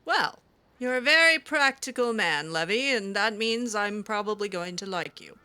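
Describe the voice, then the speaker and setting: Hesitant woman roleplaying with a bright voice. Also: medium pitch, expressive, normal speed. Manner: hesitant, with frequent ums and restarts. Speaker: roleplaying game player. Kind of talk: improvised in-character roleplay. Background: faint game music.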